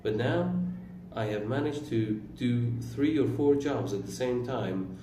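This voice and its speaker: A man in his twenties whose first language is Turkish, talking slowly about his own life in a halting way, pausing and correcting himself. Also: medium pitch, animated, dark tone. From Iran. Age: 20s. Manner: slow, halting, with pauses and self-corrections. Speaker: vlogger. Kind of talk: reflective personal monologue. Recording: roomy, distant mic with faint room noise.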